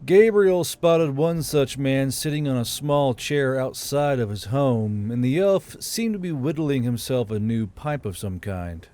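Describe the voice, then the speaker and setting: Mellow male audiobook narrator. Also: mid-range voice, expressive, unhurried, few pauses.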